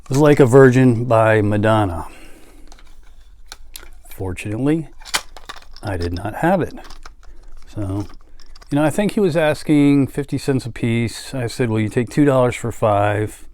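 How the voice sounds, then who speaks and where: A man talks through his collection at a slow, unhurried pace. His delivery is casual and halting, drifting into small asides, with a mid-range pitch. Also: animated, darkish tone. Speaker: music collector and vlogger. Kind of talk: casual show-and-tell chat.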